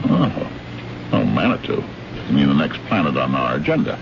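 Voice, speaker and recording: A man's voice: very deep voice, wildly swooping pitch, normal speed, vocal fry, exaggerated voice. An actor playing an officious, overbearing man from a government ministry in an old-time radio drama, brusque, blustering and given to lecturing. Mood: dismissive. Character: officious, overbearing male trade official, jealous and dismissive. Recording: dull, noisy vintage radio recording.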